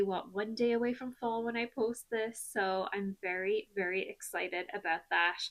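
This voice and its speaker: A woman in her thirties from Ireland with an Irish accent, chatting in a rambling, relaxed way with lots of ums for a knitting vlog. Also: medium pitch. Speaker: knitting vlogger. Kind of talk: chatty podcast-style vlog.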